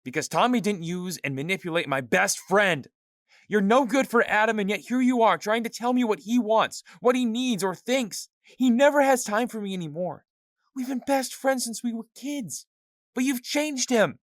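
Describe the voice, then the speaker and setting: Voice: mid-range voice, normal speed, no room sound, studio quality, lightly acted. A performer playing a resentful, hot-headed young male ranger in a fan audio drama who lashes out at others. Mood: bitter. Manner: resentful, lashing out. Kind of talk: amateur audio drama acting.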